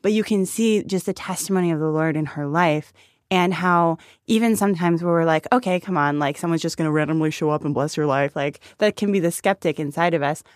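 A woman's voice: low-pitched, brisk, no echo, studio quality. A woman co-hosting a casual devotional chat, speaking informally with youthful, conversational phrasing. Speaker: podcast co-host.

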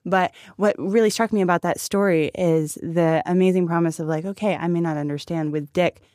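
A woman's voice: fairly low pitch, steady tone, fairly quick, mellow, no room sound, studio quality. A woman co-hosting a casual devotional chat, speaking informally with youthful, conversational phrasing. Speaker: podcast co-host.

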